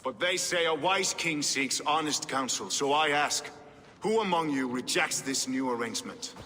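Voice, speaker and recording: A man's voice: a little high, bright, forceful tone. An actor playing a proud, ambitious Viking king in a video game, speaking in a regal, commanding manner. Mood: grand, rousing. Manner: grandiose, deliberate, regal. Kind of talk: theatrical video game oratory. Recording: long hall reverb, faint music behind.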